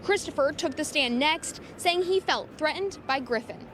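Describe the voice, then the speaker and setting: A woman's voice: high voice, moderate pace, background noise. A woman reporting a scripted television news story from outdoors. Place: outdoors outside a courthouse.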